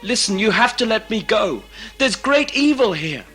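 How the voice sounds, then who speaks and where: Man playing a wry, lively-voiced captive. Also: high voice, normal speed, soft background music, full character voice. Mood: alarmed. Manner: dry, sarcastic, pleading. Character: wry, anxious male captive. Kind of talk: sci-fi audio drama acting.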